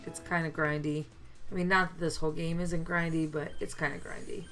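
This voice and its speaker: A woman commenting casually on a mobile game as she plays, her voice deepened and gravelly from a chest cold, chatty with many fillers. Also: little pitch movement, fairly quick, a bit bright, faint music behind. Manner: chatty, mildly complaining, lots of fillers. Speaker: let's play gamer.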